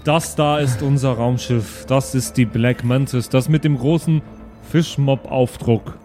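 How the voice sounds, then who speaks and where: A man's voice: medium pitch, fairly even intonation, measured pace, dark tone, faint music behind, lightly acted. Man playing a slangy young spaceship crewman. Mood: insistent. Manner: casual, saying slang exclamations. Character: slangy, earnest young male spaceship crewman, captain's son.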